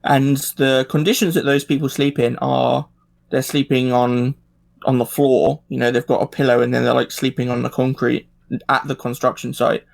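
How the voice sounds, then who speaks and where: Creaky-voiced man in casual discussion. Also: mid-range voice, flat delivery, moderate pace. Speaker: podcast panelist.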